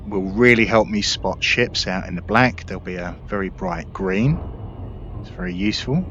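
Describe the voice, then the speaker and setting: Casting: male gaming creator, lowish pitch, conversational explainer style, slightly dull mic with faint background noise. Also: normal speed, a bit bright. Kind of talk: casual gameplay commentary.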